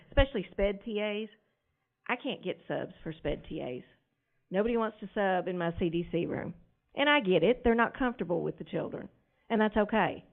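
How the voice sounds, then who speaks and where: Woman, principal, loud frank delivery, tinny phone-like sound. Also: mid-range voice. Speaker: school principal. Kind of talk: speaking before a board meeting. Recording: tinny lo-fi meeting audio.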